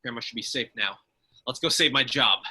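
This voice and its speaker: Joe, a raspy, bright-voiced harried everyman acted by a man. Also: medium pitch, brisk. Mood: impatient. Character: Joe, harried everyman trying to save his job. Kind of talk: table read acting.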